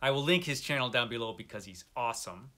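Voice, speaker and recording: A man's voice: mid-range voice, expressive, fast talker, bright, forceful tone. A man hosting a book video, chatting casually to viewers in a wry, self-deprecating way. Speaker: host of a book review video channel. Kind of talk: casual chat to camera about books.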